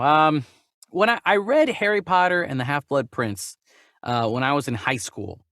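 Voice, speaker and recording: A man co-hosting a casual movie news chat, animated and given to exaggerated questioning. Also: a little high, no room sound, studio quality. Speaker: movie news podcast co-host.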